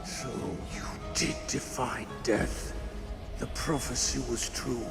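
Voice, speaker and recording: A man's voice: medium pitch, steady tone, slow, close to an everyday voice. An actor playing a stern, haughty old warrior king in a video game, grave and theatrical. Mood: haughty, bitter. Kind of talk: dramatic video game voice acting. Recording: echoey hall reverb, music underneath. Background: music.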